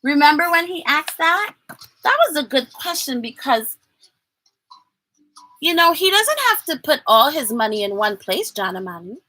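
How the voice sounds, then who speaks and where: Woman storytime host for young children, high and very lively, expressive, draws listeners in with questions. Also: moderate pace, no room sound, poor audio. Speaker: teacher and read-aloud host for children. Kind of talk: animated picture-book read-aloud with audience questions.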